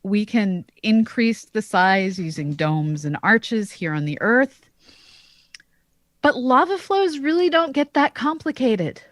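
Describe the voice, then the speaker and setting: A woman co-hosting a science podcast, explaining in a measured, careful way. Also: medium pitch, sing-song, dry recording. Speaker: podcast co-host, astronomer.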